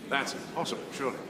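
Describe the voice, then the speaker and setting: An actor playing a haughty, sceptical count in a period drama, with a condescending, probing manner. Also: male voice, background noise, lightly acted. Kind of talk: naturalistic television period drama acting.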